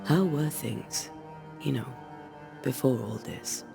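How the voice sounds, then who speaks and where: A man's voice: medium pitch, slow pace, gravelly, music in the background. An actor playing an earnest, courteous ghost hunter of colonial times in a video game, direct in his questioning. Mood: inquiring. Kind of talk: dramatic video game acting.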